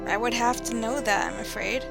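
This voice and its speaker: An actress playing a calm, mysterious spirit medium who speaks in a measured, ominous way in a vintage-style radio drama. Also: female voice, a little high, creaky. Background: music.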